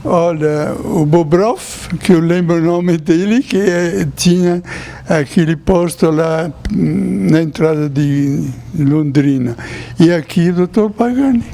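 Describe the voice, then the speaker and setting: An older Italian-born priest, a man, telling his memories slowly in an interview, with small chuckles. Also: a little high, creaky, warm tone. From Italy. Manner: slow storytelling with chuckles.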